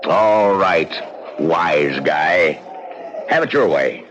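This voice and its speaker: A man's voice: extremely low pitch, expressive, very slow, heavily acted. An actor playing a stern small-town sheriff in an old radio drama, blunt and exasperated as he warns. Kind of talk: 1970s radio drama acting. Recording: dull, noisy old radio broadcast.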